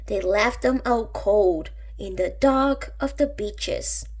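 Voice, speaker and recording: A woman reading a rhyming picture book aloud for children, with expressive, dramatic emphasis. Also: mid-range voice, slow. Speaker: children's storybook reader.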